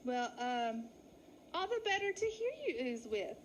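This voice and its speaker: A woman's voice: fairly high pitch, very animated, a little slow, a little hiss or hum, poor audio. A woman voicing a sly male wolf who poses as a sweet, coy lady sheep in a children's storybook. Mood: flustered. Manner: coy, sugary, simpering. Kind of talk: children's storybook read-aloud.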